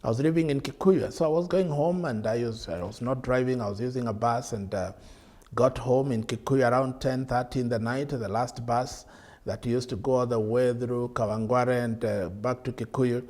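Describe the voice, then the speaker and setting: A middle-aged Kenyan man, a bishop, teaching a televised sermon from a studio desk with earnest rhetorical questions and drawn-out emphasis. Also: mid-range voice, a little mellow.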